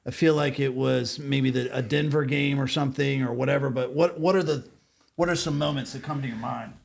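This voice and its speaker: A man's voice: mid-range voice, steady tone, fairly quick, creaky. A man interviewing someone on a podcast in an informal, conversational way, asking loose, rambling questions.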